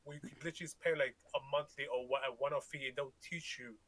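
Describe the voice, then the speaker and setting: A man's voice: medium pitch, steady tone, fast, a bit bright. A man whose first language is Tagalog, co-hosting a podcast in a rambling, casual way, often restarting and swearing freely. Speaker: podcast co-host. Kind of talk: casual chat.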